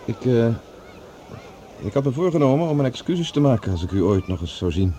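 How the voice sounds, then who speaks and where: A man playing an earnest, slightly halting professor in a radio play. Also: medium pitch, big pitch swings, mellow, a little hiss or hum, natural voice. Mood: friendly, earnest. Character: earnest, awkwardly apologetic male professor. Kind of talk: Dutch radio play acting.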